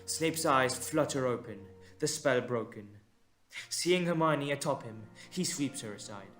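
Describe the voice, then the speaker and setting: Male narrator for fantasy audio play: mid-pitched, very unhurried, suspenseful, deliberate pauses, light music bed. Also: animated, a bit bright. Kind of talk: dramatic scripted audio story narration.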